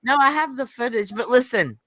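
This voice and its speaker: A woman's voice: a little high, expressive, a little fast, crowd noise. A woman in her fifties on an online voice chat panel, telling a family story in a chatty way and laughing often. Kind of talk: casual group chat.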